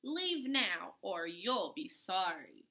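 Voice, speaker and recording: A woman's voice: mid-range voice, very animated, slow, bright, forceful tone, voice pushed down, heavily acted. A woman voicing a defiant male little pig in a children's story, giving indignant, emphatic retorts.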